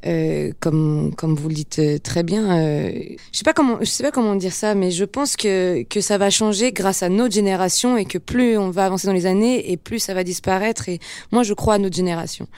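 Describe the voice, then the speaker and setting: Young actress, frank casual talk, smooth voice, dry sound. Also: female voice, mid-range voice, darkish tone, no room sound. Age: young adult. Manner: frank, laughing, quick casual slang. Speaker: actress, interview guest.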